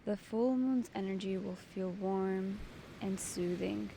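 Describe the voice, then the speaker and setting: A woman leading a guided meditation as its host, giving soothing, deliberate instructions in short phrases. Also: medium pitch, gravelly, mellow, slight background noise.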